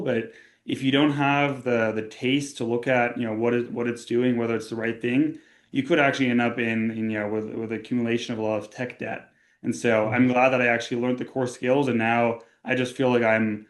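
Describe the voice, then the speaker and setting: A young man from Austria, a tech company co-founder, speaking as a guest in a casual podcast interview, talking things through with frequent fillers and restarts. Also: mid-range voice. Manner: conversational, many fillers and restarts.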